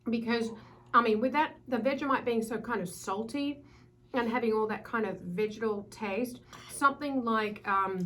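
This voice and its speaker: A woman's voice: fairly high pitch, moderate pace, a bit bright. A middle-aged Australian woman, a wine importer, explaining things in a friendly casual chat with plenty of fillers.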